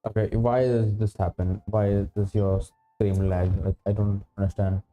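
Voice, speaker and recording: A man's voice: on the low side, little pitch movement, normal speed, dull audio, soft background music. A man livestreaming a video game with casual commentary, often repeating words and restarting as he talks. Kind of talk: casual gaming commentary with a friend.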